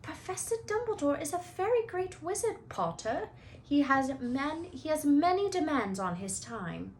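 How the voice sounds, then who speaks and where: A woman's voice: high voice, big pitch swings, a little slow, a little hiss or hum, pitched above the performer's own voice, full character voice. A woman voicing a stern, haughty woman professor with a clipped, disapproving manner in a children's book read-aloud. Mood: cold.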